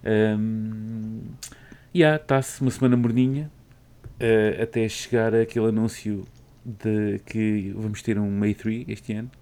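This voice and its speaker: Male podcast host, European Portuguese accent, low mellow tone, chatty and rambling. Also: fairly even intonation, slow. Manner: chatty, rambling with many fillers. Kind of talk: casual gaming podcast chat.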